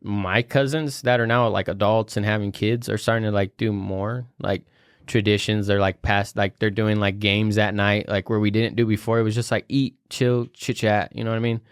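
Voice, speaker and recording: A young man co-hosting a podcast, chatting casually and joking, with lots of fillers, his pitch jumping up high when he quotes people. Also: steady tone, moderate pace, clean tone, dry recording, clean studio sound. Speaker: podcast co-host. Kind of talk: casual chat.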